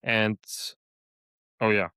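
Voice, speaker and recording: A man hosting a podcast interview, measured and listening, with frequent fillers. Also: lowish voice, little pitch movement, sharp tone, clean tone, dry recording, clean studio sound. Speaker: podcast host.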